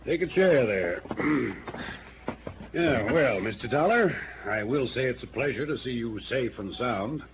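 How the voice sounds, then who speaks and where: Through a hissy, phone-thin old radio recording comes a courteous small-town mayor. He speaks formally and earnestly, with a lively rise and fall and a touch of uneasy hesitation. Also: medium pitch, measured pace. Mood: cordial. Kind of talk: 1950s radio drama acting. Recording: noisy, narrow-band old radio recording.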